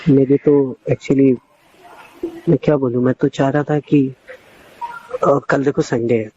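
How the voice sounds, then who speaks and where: Mellow-voiced man on a muffled phone call. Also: medium pitch, steady tone, a little slow, a little hiss or hum. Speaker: coaching teacher. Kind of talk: casual private phone conversation.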